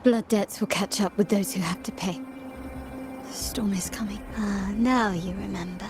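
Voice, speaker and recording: An actress playing a taunting doppelganger in a video game, with a theatrical, teasing, mocking manner. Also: female voice, medium pitch, steady tone, normal speed, over music, lightly acted. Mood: gloating. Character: taunting female doppelganger born of a noblewoman's memories.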